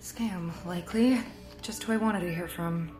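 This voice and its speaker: A woman's voice: on the low side, moderate pace, over music, close to an everyday voice. A woman playing a weary, wry and guarded office worker with a sarcastic edge in an audio drama. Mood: dry, sarcastic. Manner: dry, sarcastic. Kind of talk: audio fiction acting.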